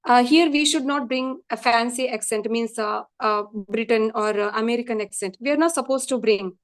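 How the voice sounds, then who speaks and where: A woman with a South Indian accent speaks as an interview guest, her voice a little high. She stresses her points earnestly and repeats them for emphasis. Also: moderate pace. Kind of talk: casual interview chat.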